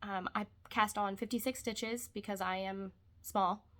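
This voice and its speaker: A young woman talks casually from her couch at home, her voice stuffed up with congestion. She rambles and trails off into softer asides, at an ordinary mid pitch. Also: steady tone, a little slow. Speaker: vlogger. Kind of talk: casual chat.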